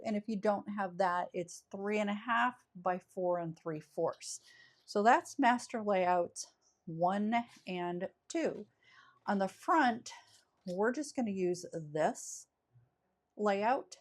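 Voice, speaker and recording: A woman with a scratchy voice from a summer cold walks viewers through a card-making project at a slow, unhurried pace. She talks in an easygoing, chatty way and often stops to correct herself. Her pitch sits in a middle range. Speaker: craft channel host. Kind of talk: step-by-step craft tutorial.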